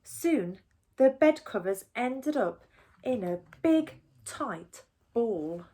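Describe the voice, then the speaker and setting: A woman reading a picture book aloud for young children in an expressive, deliberate storytelling way. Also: a little high, husky. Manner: animated, deliberate, with asides to the listeners.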